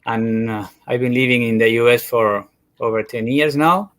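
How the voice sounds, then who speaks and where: A man speaks politely and easily as a livestream guest. His voice sits at a middle pitch, and he comes through a video call. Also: moderate pace, a bit bright, dry recording. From Argentina. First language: Spanish. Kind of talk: casual livestream chat.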